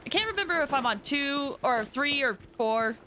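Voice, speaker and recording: A woman's voice: high-pitched, expressive, moderate pace, bright, forceful tone, slight background noise. A woman livestreaming a game, chatting casually and informally with viewers in a rambling way. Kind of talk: casual chat with viewers while gaming. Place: livestream voice with game music behind.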